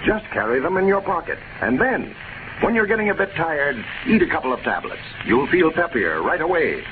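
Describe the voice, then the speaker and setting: A male radio announcer delivering scripted 1930s narration and sponsor pitches. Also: big pitch swings, a little fast. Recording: tinny 1930s radio broadcast, heavy noise.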